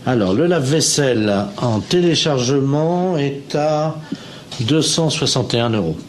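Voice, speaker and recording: Man acting earnest telephone appliance salesman, mid-pitched, deadpan prank-call delivery, faint line noise. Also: measured pace, lightly acted. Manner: matter-of-fact, deadpan. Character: earnest male appliance shop phone salesman spouting absurdities. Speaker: phone prankster. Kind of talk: improvised prank phone call.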